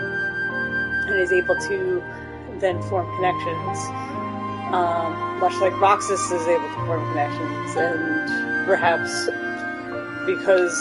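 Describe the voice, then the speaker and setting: Low-pitched woman streamer over game music. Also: low-quality recording. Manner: thinks aloud, checks herself with questions. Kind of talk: casual live commentary and read-aloud.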